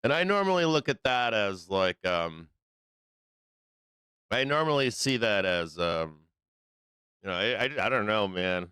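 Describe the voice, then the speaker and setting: Hoarse, profane stream host, low voice. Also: male voice, lively intonation, unhurried, long pauses, bright, forceful tone, no echo, clean studio sound. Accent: Midwestern American. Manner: rambling, swears constantly, frequent ums. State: hoarse, losing his voice. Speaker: live-stream trading host. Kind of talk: casual profane live-stream chat.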